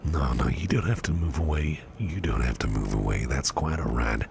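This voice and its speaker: Man playing very deep, gravelly, caring boss. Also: lively intonation, moderate pace, slight background noise, heavily acted. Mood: tender, soothing. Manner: soft, breathy, often half-whispered, soothing murmur. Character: caring, courteous businessman boss, protective and gently flirtatious. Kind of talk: ASMR personal attention roleplay.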